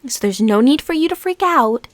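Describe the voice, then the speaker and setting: A woman playing a lovesick, defensive and unstable former stalker in an intimate audio drama, speaking in a halting, emotionally intense way. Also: high-pitched, animated, dry recording. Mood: panicked, defensive. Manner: halting, pleading, emotionally intense, drops into whispers.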